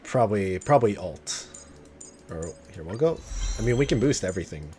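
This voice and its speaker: A man giving casual, slangy live-stream gameplay commentary, swearing freely and getting excited. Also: on the low side, normal speed, a little hiss or hum. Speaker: game streamer. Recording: game music behind the voice. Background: video game music and sounds.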